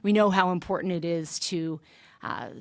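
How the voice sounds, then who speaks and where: A woman's voice: lowish voice, fairly even intonation, normal speed, no room sound. Polished woman hosting, dry close sound. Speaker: guest host. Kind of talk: formal podcast interview, introduction.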